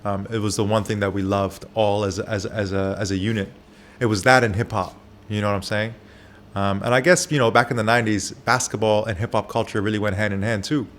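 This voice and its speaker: A man who grew up in Japan, a barber, answering interview questions candidly, with soft pauses and frequent fillers. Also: fairly low pitch, fairly even intonation, slight background noise.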